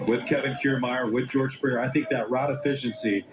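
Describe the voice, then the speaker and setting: A man's voice: medium pitch, steady tone, fairly quick, over loud music. A man calling a baseball game as a live play-by-play announcer. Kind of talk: live sports commentary.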